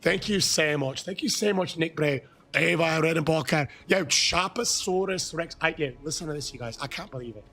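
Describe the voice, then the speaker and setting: An Irish man hosting a live gaming stream, his voice hoarse and worn from shouting. His tone is gravelly and sits somewhat high for a man. He chats loosely and excitedly with viewers, now and then erupting into loud, happy shouts. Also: moderate pace, hardly any pauses. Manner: excitable, bursts into shouting while thanking viewers. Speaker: gaming livestreamer. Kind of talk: casual live-stream chat.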